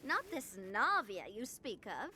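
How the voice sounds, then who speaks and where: A woman's voice: sits high, very animated, measured pace, sharp tone, a little hiss or hum, heavily acted. A woman voicing a regal, playful giraffe monarch in a video game, pompous and theatrical.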